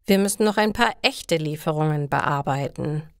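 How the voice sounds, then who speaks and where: A woman's voice: deep voice, normal speed, clean tone, no room sound, studio quality. A woman voicing a bossy, scheming male coworker in a storybook for language learners, speaking emphatically and with authority. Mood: chummy, cautioning.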